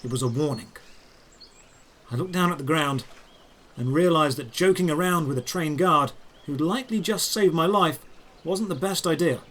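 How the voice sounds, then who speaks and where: A man narrating a scripted first-person fantasy adventure in a dramatic, deliberate, descriptive way. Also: on the high side, a bit bright.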